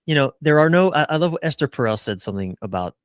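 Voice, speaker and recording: A man's voice: fairly high pitch, very animated, quick pace, clear, resonant tone, no echo, clean studio sound. A middle-aged man giving earnest, casual answers as a relationship coach in a conversational interview. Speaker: relationship coach, podcast guest.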